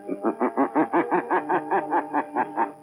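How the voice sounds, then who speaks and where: An actor playing a mysterious, menacing male avenger in an old radio drama, with a mocking, drawn-out delivery. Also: on the high side, expressive. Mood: sinister, mocking. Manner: slow, drawn-out sinister delivery with mocking laughter. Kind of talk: 1930s radio drama acting. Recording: old radio recording with loud music.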